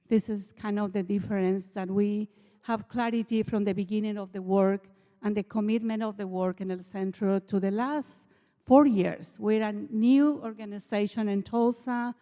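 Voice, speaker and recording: A woman's voice: medium pitch, dark tone. Bolivian-accented woman speaking earnestly in a hall. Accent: Bolivian Spanish. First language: Spanish. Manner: earnest, halting non-native English. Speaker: nonprofit executive director. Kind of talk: speech to a church assembly. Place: large church hall. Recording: long reverb of a large hall.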